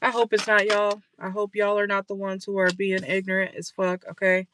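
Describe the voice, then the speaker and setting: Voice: medium pitch, completely flat delivery, normal speed, background noise. A tarot reader giving a casual reading for viewers from a car, blunt and profane, tagging lines with "okay?", and losing their voice.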